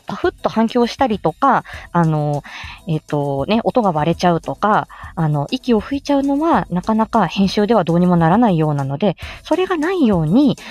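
A woman hosts an informal tutorial, talking off the cuff in a polite, encouraging way. Her mid-pitched voice moves around with plenty of animation as she explains each step. Soft music sits behind her. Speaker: host of a how-to audio show for amateur voice actors. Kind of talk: casual explanatory talk, unscripted.

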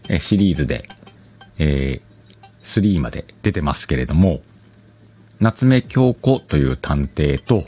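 Solo radio-style host: man, very low voice, lively intonation, chatty, faint music behind. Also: breathy edge. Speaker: host of an audio talk show.

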